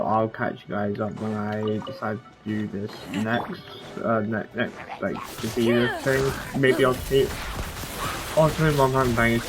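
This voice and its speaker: A man giving casual, unscripted game commentary, halting and rambling as he goes. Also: medium pitch. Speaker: gamer recording gameplay commentary. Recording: game music behind the voice.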